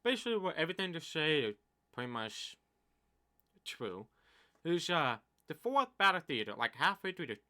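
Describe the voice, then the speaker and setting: A deaf man in his twenties rambling through a casual complaint about slow game updates, his speech uneven in loudness. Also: a little high. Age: 20s. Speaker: gaming YouTuber. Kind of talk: casual commentary vlog.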